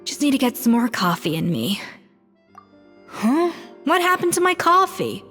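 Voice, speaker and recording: A proud young woman chef from a video game, exacting and articulate. Her voice sits a little high and she lands her words with precise emphasis. Light music plays behind her. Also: sing-song, unhurried, a bit bright, full character voice. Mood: weary.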